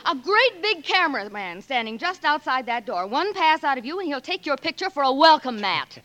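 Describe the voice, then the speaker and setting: Lively high-voiced brisk woman reporter. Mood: tart, guarded. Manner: snappy, sparring retorts. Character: brisk, sharp-tongued young female newspaper reporter. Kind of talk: 1940s Hollywood radio drama acting. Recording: noisy old 1940s radio recording.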